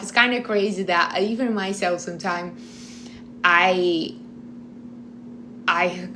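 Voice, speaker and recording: A woman's voice: fairly low pitch, a bit bright, a little hiss or hum. A woman in her thirties from Brazil, with a strong Brazilian Portuguese accent and Portuguese as her first language, chatting casually to camera between bites of food and often restarting her sentences. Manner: chatty, talks while eating, restarts often. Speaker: vlogger.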